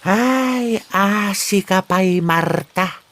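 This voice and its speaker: A man playing an expressive older village father in a comedy drama. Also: sits high, extremely slow, dry recording, exaggerated voice. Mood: animated scolding. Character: lively, caring older father, village neighbor. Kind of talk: amateur Ilocano comedy drama acting.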